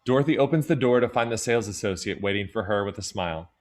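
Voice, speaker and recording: Guy narrating a screenplay, lowish voice, scripted read. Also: quick pace, darkish tone.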